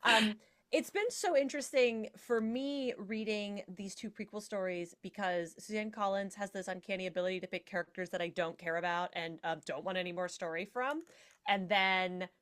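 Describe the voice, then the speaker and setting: A woman's voice: mid-range voice, fairly even intonation, normal speed. A woman guest in a casual podcast discussion, talking in a jokey, playfully emphatic way.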